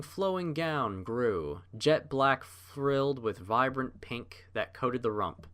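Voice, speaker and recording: A man narrates scripted fiction in a medium-pitched voice. His delivery is measured and literary, with very varied intonation that shapes each phrase. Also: a bit bright.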